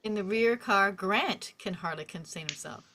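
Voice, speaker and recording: A woman narrating the stage directions at a script table read in an expressive storytelling manner. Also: mid-range voice, normal speed. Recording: faint background noise and clicks.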